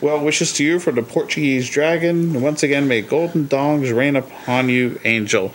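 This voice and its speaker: Male co-host, mid-pitched, dry quips, casual email reading, light background hiss. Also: normal speed, low-quality recording. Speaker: podcast co-host.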